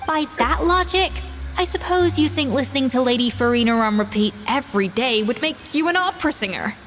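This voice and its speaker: A woman's voice: high-pitched, expressive, fairly quick, fairly bright tone, big-room sound, slight background noise. An actress playing an elegant, exacting woman chef who judges dishes with dry, cutting remarks. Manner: poised, dryly cutting.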